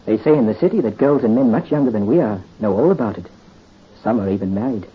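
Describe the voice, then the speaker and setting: A man's voice: fairly low pitch, expressive, fast talker, husky. An actor playing an earnest young nobleman, nearly twenty and newly in love, in a 1930s radio drama. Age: young adult. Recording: muffled 1930s radio recording, faint hiss.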